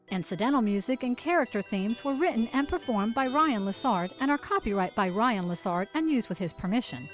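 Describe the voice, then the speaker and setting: Lively woman announcer over music. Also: medium pitch. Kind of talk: scripted credits narration. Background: music with chimes.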